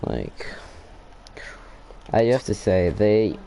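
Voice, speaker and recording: A man's voice: fairly low pitch, sing-song, slow pace, gravelly, warm tone, slight background noise. A man casually commenting while playing a game, rambling and thinking aloud with hesitations. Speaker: gameplay commentator. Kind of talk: casual let's play commentary.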